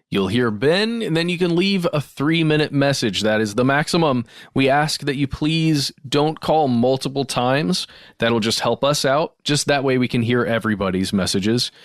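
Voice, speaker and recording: A man's voice: medium pitch, no echo, clean studio sound. A man co-hosting a podcast, chatting casually with informal, loose phrasing. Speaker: podcast co-host. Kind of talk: casual chat.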